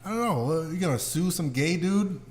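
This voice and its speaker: A man's voice: a little high, expressive, brisk, darkish tone. A man hosting a podcast, chatting informally and bluntly and putting questions to the others. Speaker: podcast host. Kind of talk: casual chat.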